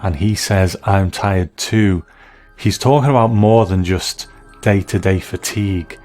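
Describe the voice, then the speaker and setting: A man giving conversational review commentary, reflective and measured, as narrator. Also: low voice, a little mellow, soft background music.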